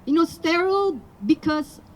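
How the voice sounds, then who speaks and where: A woman's voice: very high voice, normal speed, low-quality recording. A woman from the Philippines whose first language is Tagalog, giving an earnest public speech at a rally outdoors. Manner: earnest, building to emphatic appeals. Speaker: rally speaker.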